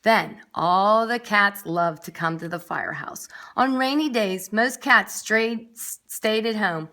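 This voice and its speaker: A woman's voice: medium pitch, unhurried, bright, forceful tone. A woman reading a children's story aloud expressively, with dramatic emphasis and little asides for young listeners. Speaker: nursery school story reader.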